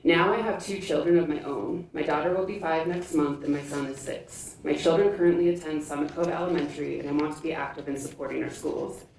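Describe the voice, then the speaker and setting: A woman with a low voice delivers a formal, scripted candidate statement at a forum. She is picked up from far off in a heavily reverberant room. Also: darkish tone. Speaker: school board candidate. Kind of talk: prepared campaign statement at a forum. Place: indoor public forum room. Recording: echoey room from far off, faint noise.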